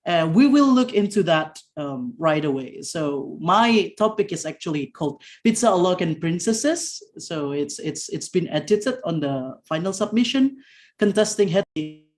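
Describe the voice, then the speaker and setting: A young woman with a very deep voice giving an academic presentation over a video call, halting with frequent fillers and restarts. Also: animated, darkish tone. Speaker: conference presenter.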